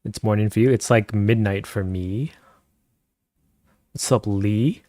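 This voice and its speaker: A man chatting casually with viewers on a live stream, speaking softly and keeping his voice down at night at home, and laughing often. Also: a little slow, no echo. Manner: soft-spoken, keeps voice low at night.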